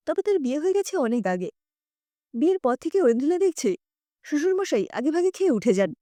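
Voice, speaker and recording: A woman's voice: high-pitched, dark tone, no room sound. A woman narrating a scripted story expressively as a storyteller.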